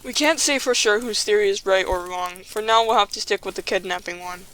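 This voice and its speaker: A woman playing a calm, practical, reassuring young group leader in an audio drama, with steady delivery. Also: medium pitch, brisk, lightly acted, rough recording.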